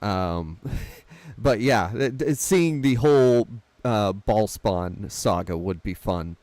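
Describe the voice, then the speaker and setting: A man's voice: lowish voice, animated, takes it slowly, darkish tone, no echo. A man talking casually as a panelist in a film discussion, with a wry, dry-humoured manner. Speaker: podcast panelist.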